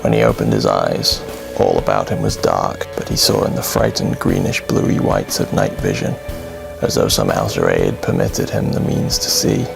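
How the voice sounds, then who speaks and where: A man narrating a scripted horror bedtime story in a grave, dramatic, deliberate way, with music under his voice. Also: rumbling low, very animated, gravelly, poor audio.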